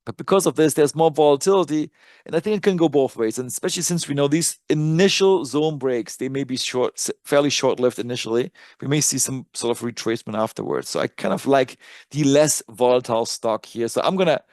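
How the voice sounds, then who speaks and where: A man's voice: mid-range voice, fairly quick, a little mellow, no room sound, clean studio sound. A native German-speaking man presenting casual live stock chart commentary, conversational and thinking aloud as he works. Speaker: trading analyst and presenter.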